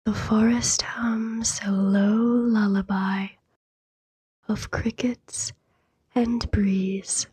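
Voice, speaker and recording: A woman softly narrating a scripted sleep meditation in a hushed, breathy whisper, soothing and unhurried, with long pauses. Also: medium pitch, level tone, no echo.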